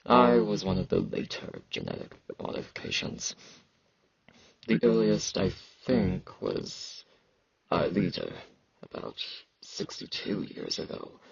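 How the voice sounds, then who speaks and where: A man playing a weary, aloof immortal fantasy knight in an intimate ASMR roleplay, slow and brooding, with a gravelly, husky voice and sibilant S sounds. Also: sits low, lo-fi sound, full character voice. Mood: matter-of-fact. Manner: slow, brooding, casual about grim things.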